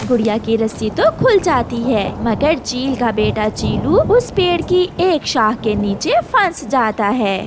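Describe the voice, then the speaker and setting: A woman's voice: a little high, background noise. A woman reading scripted narration for a children's cartoon story.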